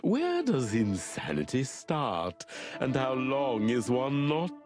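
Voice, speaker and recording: An actor playing an unsettling, philosophical snake doctor in a noir game, speaking in a measured, cryptic way. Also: male voice, mid-range voice, huge pitch swings, darkish tone, soft background music. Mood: calm, philosophical. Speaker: asylum doctor character.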